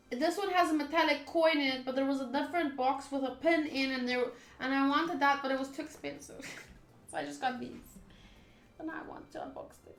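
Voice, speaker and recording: Bright-voiced woman streamer in a roomy space. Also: high voice. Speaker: game streamer. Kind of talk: playthrough reading aloud with casual commentary. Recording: roomy echo, faint game music behind.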